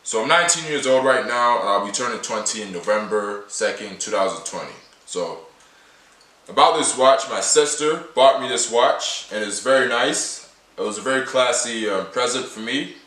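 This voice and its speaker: A nineteen-year-old man talks to camera in a casual, rambling way, circling back to the same points again and again. His voice is bright and sits at a middle pitch. He sounds a little distant from the mic, and the small room gives an echoey ring. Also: lively intonation, moderate pace. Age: late teens. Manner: repetitive, rambling, restates points often. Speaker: vlogger showing his everyday carry.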